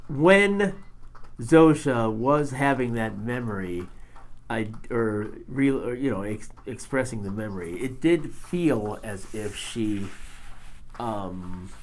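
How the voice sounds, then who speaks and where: Podcast cohost guy, middle pitch, lots of um and you know, says yeah yeah a lot. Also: expressive, takes it slowly, a little hiss or hum. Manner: hesitant, many fillers and agreeing interjections. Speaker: podcast co-host. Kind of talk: casual chat about a TV episode.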